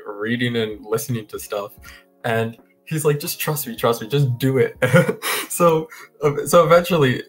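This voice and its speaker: Young man, mid-pitched voice, relaxed and rambling, headset on a video call, faint music underneath. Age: young adult. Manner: rambling with many fillers and restarts, easygoing. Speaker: guest. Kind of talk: casual interview. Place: home room over a video call.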